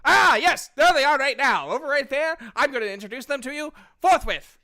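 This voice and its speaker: A man's voice: very high-pitched, lively intonation, a little fast, sharp tone, voice pushed up, full character voice. A man voicing a peppy, effusive Warforged robot in a tabletop game, exclaiming and repeating himself with gusto. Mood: bubbly, gushing. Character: peppy, gushing Warforged robot with malfunctioning personality chip. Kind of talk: tabletop roleplay character acting.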